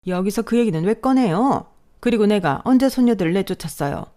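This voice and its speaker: A woman's voice: medium pitch, animated, moderate pace, a little mellow, voice pushed up, close to an everyday voice. A woman voicing a spiteful, sick older mother-in-law who scolds sharply and venomously. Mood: furious.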